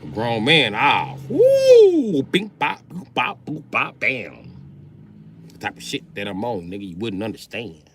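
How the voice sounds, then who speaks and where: A man's voice: mid-range voice, huge pitch swings, a little slow, slight background noise. A middle-aged man livestreaming a profane, boastful comedic rant.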